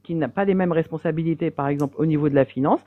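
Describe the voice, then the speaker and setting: A woman's voice: low-pitched, racing, dry recording. A woman calling into a live debate, defending her view in a hesitant way with many fillers. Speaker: debate caller. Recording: dry tinny phone line.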